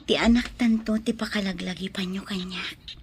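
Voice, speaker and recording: A woman playing a gentle, fearful pregnant young wife in a melodramatic radio drama, with plaintive, drawn-out delivery. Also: mid-range voice, steady tone, a little hiss or hum, lightly acted. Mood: tender, wistful.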